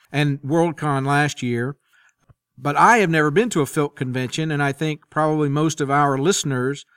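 Clear, higher-voiced man hosting a podcast. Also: fairly bright tone, dry recording, studio quality. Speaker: podcast host. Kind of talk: conversational podcast interviewing.

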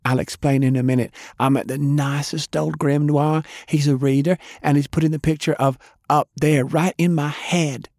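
A man voicing an excited, carefree young girl who shouts her lines in a storybook reading. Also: a little high, normal speed, few pauses, dry recording, studio quality, pitched above the performer's own voice, lightly acted. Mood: eager.

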